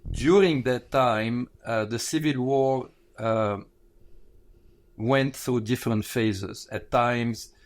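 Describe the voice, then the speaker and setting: A man, a retired pathologist giving an interview, thoughtful and pausing often to correct himself. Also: medium pitch. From Lebanon. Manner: thoughtful, pauses with fillers and self-corrections. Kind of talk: conversational interview.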